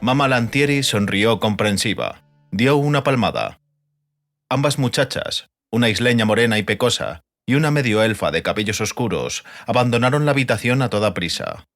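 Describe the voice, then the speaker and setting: A man's voice: mid-range voice, big pitch swings, moderate pace, fairly bright tone, soft background music. A man reading scripted literary narration as an audiobook narrator.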